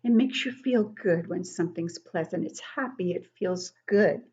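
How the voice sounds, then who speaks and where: An older woman giving an interactive read-aloud lesson for young children, encouraging and expressive, asking questions and explaining things simply. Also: on the low side, husky, lo-fi sound. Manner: encouraging, asks questions and pauses to praise answers. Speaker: children's book club teacher reading poems aloud.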